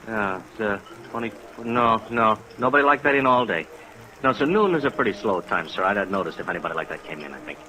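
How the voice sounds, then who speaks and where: A man's voice: lowish voice, lively intonation, fast talker, sharp tone, poor audio. An actor playing a polite, hesitant bar man in a 1950s radio drama, deferential and apologetic.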